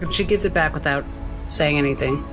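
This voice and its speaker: A man's voice: on the high side, a little fast, rough recording. A man playing a tabletop game, narrating his character's actions in a hesitant, improvised way. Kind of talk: narrating own character's actions in third person. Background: music.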